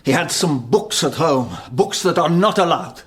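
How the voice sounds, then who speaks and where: An actor playing a wry, anxious family man with a husky voice in a naturalistic radio drama. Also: on the high side, expressive, measured pace, full character voice. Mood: worried. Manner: wry, grumbling, conversational. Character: wry, anxious father, a hospital anaesthetist.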